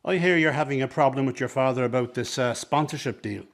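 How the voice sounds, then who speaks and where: An actor plays a sly circus man in a radio play, a smooth talker with a medium-pitched voice. He coaxes and persuades, ingratiating himself as he schemes. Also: fast talker, natural voice. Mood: earnest, coaxing. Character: smooth-talking, scheming circus man, mother's old flame. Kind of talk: dramatic radio play dialogue.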